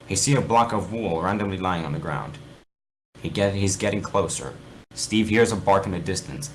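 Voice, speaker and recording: A man's voice: sits low, moderate pace, very noisy. A man reading fan fiction aloud in an amateur dramatic style, often stumbling and correcting himself. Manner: theatrical, stumbles over words and corrects himself.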